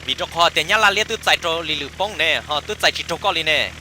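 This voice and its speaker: A man's voice: fairly high pitch, fast talker, pushed and bright, a little hiss or hum. A man performing casual, chatty comic dialogue for a cartoon.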